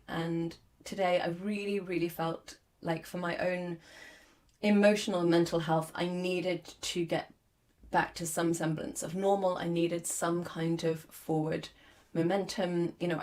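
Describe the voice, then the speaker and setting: A woman in her forties hosting a podcast, hoarse as her lost voice comes back, talking candidly and reflectively with many fillers. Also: lowish voice. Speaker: podcast host. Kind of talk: solo podcast monologue.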